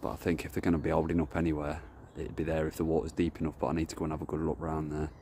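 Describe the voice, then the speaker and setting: A man's voice: very deep voice, completely flat delivery, racing, dark tone, a little hiss or hum. A man chatting casually as he walks around a lake, filming on his phone, rambling and correcting himself as he goes. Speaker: carp angler vlogger. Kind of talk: casual walk-and-talk vlog. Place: outdoors by a lakeside, walking through bushes. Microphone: phone camera held while walking.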